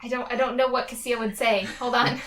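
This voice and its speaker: A woman talks through her moves in a tabletop game, pausing to think aloud. Her voice is bright and on the high side. It is recorded from a little distance with faint noise. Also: level tone. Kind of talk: casual, thoughtful game chat and roleplay. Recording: distant microphone, slight noise, clicks.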